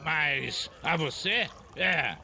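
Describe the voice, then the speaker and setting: A man playing a gruff, stingy tavern owner in an audio drama, scornful and blustering. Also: on the high side, lively intonation, drawn-out words, pushed and bright, soft background music, full character voice. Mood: angry, scolding.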